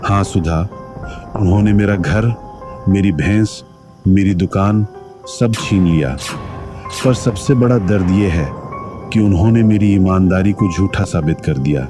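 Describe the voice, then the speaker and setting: A man's voice: low-pitched, unhurried, pauses often. A man playing the solemn, earnest ghost of an honest village milkman in a Hindi cartoon story. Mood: pained. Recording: music behind the voice.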